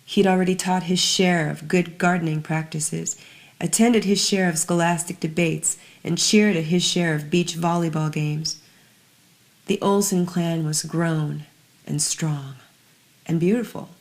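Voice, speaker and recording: A woman with a somewhat low voice reads a piece of fiction as its narrator. Her delivery is scripted and measured, with a dramatic storyteller's phrasing that sometimes drops to a hush. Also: fairly even intonation.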